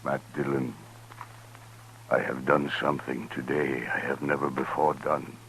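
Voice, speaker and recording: Very deep, slow, solemn old-radio chief. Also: male voice, flat delivery, fairly bright tone, heavily acted, poor audio. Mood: solemn grief. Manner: solemn, formal, deliberate phrasing. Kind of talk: 1950s radio western acting. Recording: 1950s radio recording with faint noise.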